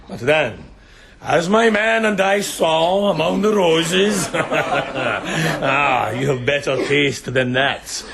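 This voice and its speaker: An actor playing a sadistic bandit chieftain in a radio drama, theatrical and mocking, relishing every word with menace. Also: male voice, mid-range voice, fairly bright tone, a little hiss or hum. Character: gloating, sadistic bandit chieftain taunting bound captives.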